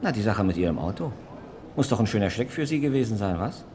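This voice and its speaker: An actor playing a sly, persistent small-town ticket clerk in a radio crime play, coaxing and repeating himself. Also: male voice, lowish voice, animated, quick pace, darkish tone, a little hiss or hum, natural voice. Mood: sly, insistent.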